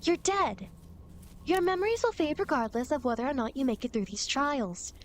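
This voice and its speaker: A woman's voice: high voice, sing-song, normal speed. A woman playing a playful, teasing demon girl who guides dead souls, in a coy, dramatic ASMR roleplay. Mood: amused, condescending. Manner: coy, mischievous, mock-sympathetic, dramatic. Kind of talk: ASMR roleplay, acted monologue to the listener.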